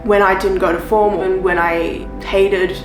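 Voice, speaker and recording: A woman's voice: mid-range voice, level tone, normal speed. A young woman with an Australian accent, hosting a personal development vlog and speaking in an earnest, reflective, confessional way. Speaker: YouTube channel host. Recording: roomy sound with background music.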